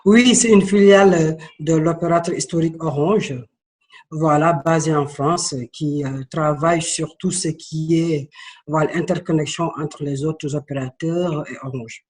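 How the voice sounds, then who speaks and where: A man from Senegal in his thirties talks as an interview guest, earnest and storytelling, often stalling and repeating words. His voice sits a little high for a man. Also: steady tone, unhurried, darkish tone.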